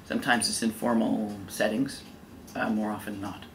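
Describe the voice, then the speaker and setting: A middle-aged man recalling his schooling in a gentle, meandering way. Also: lowish voice, flat delivery, moderate pace, slight background noise. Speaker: interviewee. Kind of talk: casual recollection.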